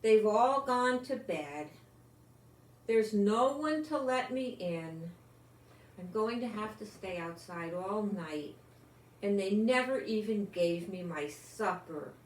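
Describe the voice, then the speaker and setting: A woman's voice: medium pitch, lively intonation, slow pace. A woman voicing a forgetful, confused female pet cat in a storybook, plaintive and self-pitying. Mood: glum, self-pitying. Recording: echoey room with some background noise.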